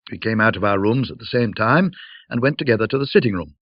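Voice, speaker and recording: A man narrating a classic ghost story in a measured, atmospheric, old-fashioned way, with conversational asides. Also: fairly low pitch, expressive, no room sound, highs cut off. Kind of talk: dramatic scripted storytelling, in an old-fashioned classic audiobook manner.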